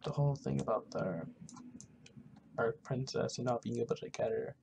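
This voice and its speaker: A gamer giving casual, halting roleplay commentary, muttering to themself while playing, recorded on a noisy home mic with keyboard clicks. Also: mid-range voice, creaky, a little mellow. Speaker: gaming video host. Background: background noise, keyboard typing and clicking.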